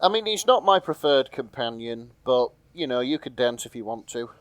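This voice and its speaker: A man's voice: mid-range voice, animated, moderate pace, clear, resonant tone, dry recording. A British man co-hosting a podcast with casual, jokey banter, fond of puns and swearing, sometimes reading aloud. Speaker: podcast co-host. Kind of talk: casual comic banter with read-aloud bits.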